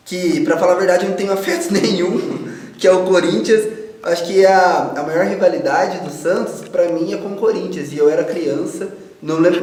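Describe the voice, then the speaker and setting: Young Brazilian man in a very echoey room. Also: high-pitched. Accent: Brazilian Portuguese. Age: 20s. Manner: reflective, searches for words, laughs softly. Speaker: guest. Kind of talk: casual interview answers.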